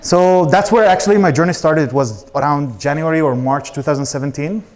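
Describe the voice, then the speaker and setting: A man's voice: on the high side, fairly quick, darkish tone. A man in his thirties from Israel, an Arabic speaker, giving an informal, blunt personal talk as a startup founder. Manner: casual, blunt, swearing.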